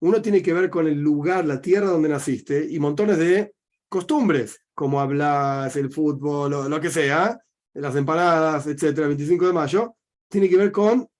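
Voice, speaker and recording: Argentine rabbi teaching with rhetorical questions. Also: male voice, on the high side, darkish tone. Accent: Argentine Spanish. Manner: explains by asking himself questions and answering them. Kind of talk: religious teaching talk.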